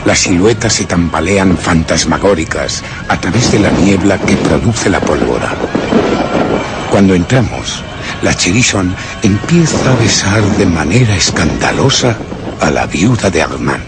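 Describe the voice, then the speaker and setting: A man's voice: low voice, animated, very noisy. An actor playing an embittered, vengeful Frenchman who secretly plots murder, delivering a brooding, theatrical, contemptuous monologue in a radio play. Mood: dazed, isolated. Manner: brooding, theatrical, deliberate storytelling with contemptuous edge. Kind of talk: dramatic first-person radio play monologue.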